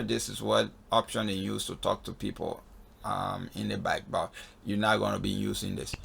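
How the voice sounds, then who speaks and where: A man with a gravelly, husky voice giving a casual step-by-step spoken walkthrough as an instructor, often checking in with "okay.". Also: on the low side, pushed and bright, a little hiss or hum. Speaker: flight instructor in a tutorial video. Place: voice-over on a screen recording.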